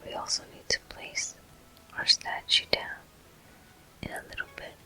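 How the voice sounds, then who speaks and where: A gaming host giving gentle, soothing ASMR commentary in an unhurried, breathy, unvoiced whisper.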